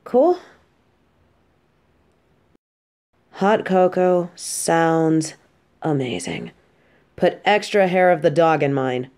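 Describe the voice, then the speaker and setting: A woman playing a casual, affectionate, teasing supervillain who gets flustered, speaking haltingly and expressively in an audio drama. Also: sits low, big pitch swings, darkish tone, exaggerated voice. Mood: tired, puzzled. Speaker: voice actress in an audio roleplay. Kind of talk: intimate scripted audio drama addressed to a listener.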